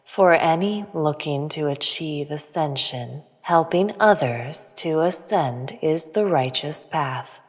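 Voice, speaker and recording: Woman playing a low-voiced, slow, serene alien teacher. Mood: solemn. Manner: solemn, measured, didactic. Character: serene, preachy female alien spiritual teacher, Maya. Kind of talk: audio drama acting. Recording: long reverb, music underneath.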